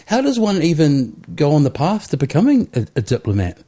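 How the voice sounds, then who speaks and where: A man's voice: on the high side, brisk. Chatty New man hosting a podcast. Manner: relaxed, chatty, loose phrasing. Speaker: podcast host. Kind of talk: casual podcast interview.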